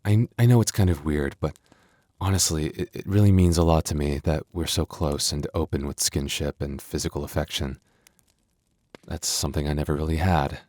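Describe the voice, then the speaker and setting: A man voicing a gentle young male werewolf boyfriend in an intimate ASMR roleplay, speaking softly and earnestly with hesitant stammers. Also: rumbling low, steady tone, moderate pace, no room sound, studio quality. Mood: bashful, affectionate.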